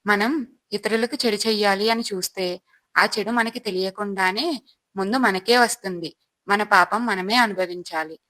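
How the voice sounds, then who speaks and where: A woman acts out a worried village mother from a storybook. She is earnest and upright, with a mid-pitched voice and very dry, close sound. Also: moderate pace, sharp tone, no echo, natural voice. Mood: dismayed, reproving. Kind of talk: moral storybook acting.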